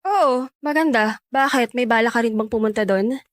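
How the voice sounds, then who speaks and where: A woman's voice: a little high, normal speed, no room sound, studio quality, close to an everyday voice. A woman playing a bossy, belittling older sister in a radio drama, with a condescending, scornful manner.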